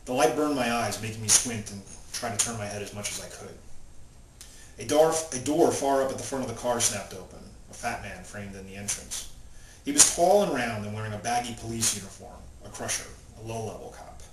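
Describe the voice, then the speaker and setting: Low-voiced man in a noisy, echoey room. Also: animated, fairly quick. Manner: reads without character voices. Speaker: author reading from own novel. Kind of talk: informal talk and plain prose reading aloud. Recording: roomy, distant mic, loud background noise.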